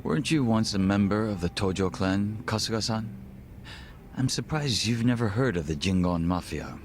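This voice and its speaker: An actor playing a suave, guarded gang second-in-command in his thirties for a video game, speaking in a formal, measured, wry way. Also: fairly low pitch, slight background noise, lightly acted. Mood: guarded, teasing. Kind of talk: English video game voice acting.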